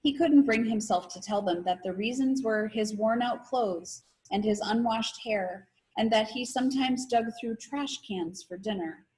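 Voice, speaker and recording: A woman's voice: fairly high pitch, a little slow, hardly any pauses, darkish tone, low-quality recording. Woman reading a children's story aloud. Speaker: read-aloud narrator.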